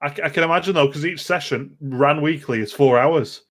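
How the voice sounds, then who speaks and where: A man's voice: a little high, a little fast. A man hosting a casual gaming podcast, chatty and digressive, often interrupting himself as he goes. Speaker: podcast host.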